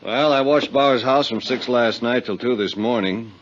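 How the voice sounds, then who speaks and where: An American actor playing a weary, blunt private detective and loyal pal in a 1950s radio drama, grumbling with exasperation. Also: male voice, mid-range voice, moderate pace, background noise, close to an everyday voice. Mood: matter-of-fact. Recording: dull 1950s radio recording.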